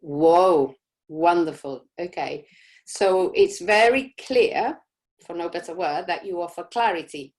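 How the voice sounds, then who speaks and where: Chatty woman from Argentina, lowish voice. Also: slow. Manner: warm, chatty, many "you know" fillers. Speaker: host of a live Q&A for business owners. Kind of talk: casual live Q&A session.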